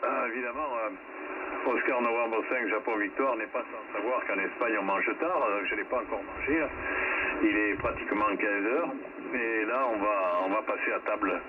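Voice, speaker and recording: A man's voice: lowish voice, moderate pace. A man chatting casually as an amateur radio operator, in a conversational, somewhat hesitant way, over a shortwave link. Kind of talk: casual ham radio contact. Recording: narrow-band shortwave radio, clear static noise.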